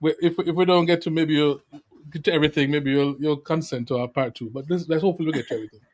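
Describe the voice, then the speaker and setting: A man with a somewhat high voice hosts a casual podcast interview. He feels his way toward each question, circling and rephrasing in a loose, conversational style. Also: racing, a little mellow.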